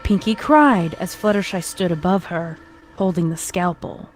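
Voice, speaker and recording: Low-voiced woman narrating a horror story. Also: moderate pace, slight background noise. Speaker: fan fiction narrator. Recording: music behind the voice.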